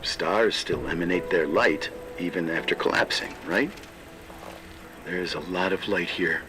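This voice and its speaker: A man playing a weary, brooding man in a game cutscene, speaking poetically and deliberately over music. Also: low-pitched. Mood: solemn, musing. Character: weary, tormented man, grieving philosophically in a farewell monologue. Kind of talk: dramatic game cutscene monologue. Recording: music behind the voice.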